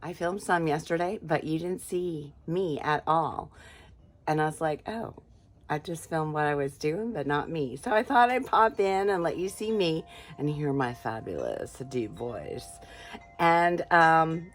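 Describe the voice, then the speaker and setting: A woman hosting her gardening channel, hoarse and raspy as she chats casually and pokes fun at herself. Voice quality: deeper and raspier than usual. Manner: chatty and self-mocking. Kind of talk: casual vlog chat.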